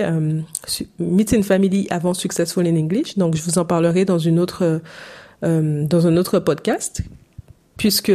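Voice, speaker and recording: Mellow-voiced Caribbean woman chatting. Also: on the low side. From Saint-Martin, Caribbean. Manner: chatty, personal, many fillers. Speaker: podcast host. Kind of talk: solo podcast monologue.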